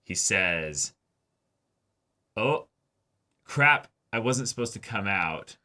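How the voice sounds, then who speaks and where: A man co-hosting a reaction show, arguing his points casually in a questioning, animated way. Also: lowish voice, slow. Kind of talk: casual anime discussion.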